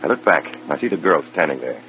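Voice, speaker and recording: An actor playing a weary, conscience-torn American undercover agent, narrating in a brooding, clipped hard-boiled style of old radio drama. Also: male voice, a bass voice, animated, fast. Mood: narrating grimly. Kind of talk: mid-century radio drama acting, first-person narration. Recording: muffled old radio recording with music.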